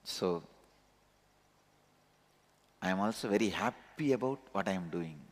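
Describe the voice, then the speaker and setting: Slow, reverberant Tamil-accented man teaching. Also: low-pitched, lively intonation. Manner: earnest, repetitive and emphatic. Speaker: spiritual teacher. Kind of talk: spiritual discourse. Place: large satsang hall. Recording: long reverb of a large hall.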